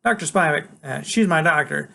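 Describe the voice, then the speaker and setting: A man's voice: on the high side, moderate pace, vocal fry, pushed and bright, slight background noise, voice pushed up, exaggerated voice. A man reading aloud, voicing a clever, bossy boy with grand, formal phrasing. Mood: lofty.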